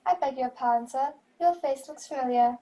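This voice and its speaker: A woman's voice: high voice, fairly quick, mellow, lightly acted. A woman acting a polite, curious server who addresses patrons formally in a radio play.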